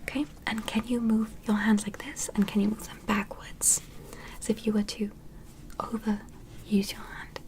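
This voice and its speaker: A woman whispering an ASMR roleplay as a gentle, curious alien medic, giving soothing, encouraging step-by-step instructions. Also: mid-range voice, little pitch movement, moderate pace. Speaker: ASMR performer playing a medical examiner.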